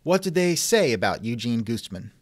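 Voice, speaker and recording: Male host for chat interview: mid-pitched, very expressive range, wry doubter. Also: normal speed, no room sound. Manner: wry, skeptical. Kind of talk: reenacted text-chat interview, conversational.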